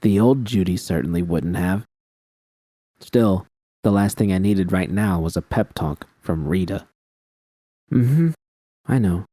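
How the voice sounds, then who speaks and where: A man's voice: sits low, measured pace, mellow, no room sound. A man narrating scripted first-person fiction as an audiobook reader.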